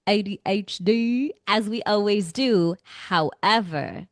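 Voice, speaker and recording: A woman's voice: medium pitch, slow, no room sound, clean studio sound. A woman hosting a podcast, reading an upbeat intro and then asking interview questions. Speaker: podcast host.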